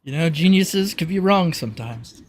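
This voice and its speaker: A man narrating a tabletop game casually as game master, improvising in a halting way. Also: fairly high pitch, lively intonation, a crowd in the background.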